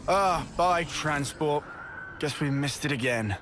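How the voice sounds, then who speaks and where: A man's voice: on the high side, slow, fairly bright tone, a little hiss or hum. A man playing a boastful young soldier in a video game, with an informal, swaggering way of talking. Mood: exasperated. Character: cocky, boastful young male soldier, quick to bicker. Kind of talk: video game cutscene acting.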